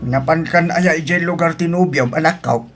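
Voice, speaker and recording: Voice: medium pitch, normal speed, a bit bright, faint music behind, natural voice. An actor with an Ilocano Filipino accent playing an older parent who frets, scolds and soothes in a radio drama. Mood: scolding, doubtful.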